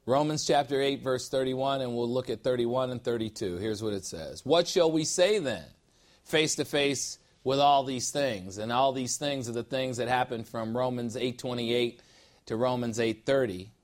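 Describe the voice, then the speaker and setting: A man preaching as a pastor, teaching verse by verse, stretching key words slowly for weight and building intensity toward his main points. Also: medium pitch. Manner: jokes lightly with the congregation. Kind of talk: expository sermon teaching from scripture.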